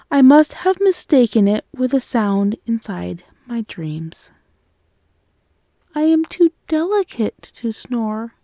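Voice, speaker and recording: A woman playing a loving, playful girlfriend in an ASMR roleplay, speaking in a soft, cooing, teasing way. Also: on the high side, no echo, studio quality. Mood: playfully teasing. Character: loving, playful, affectionate girlfriend waking her partner.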